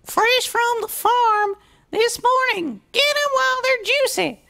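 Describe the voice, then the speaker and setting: A woman voicing a brash, loud young filly pony who hollers to sell apples. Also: lively intonation, slow, creaky, full character voice. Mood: hollering. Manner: shrill, shouting, country twang.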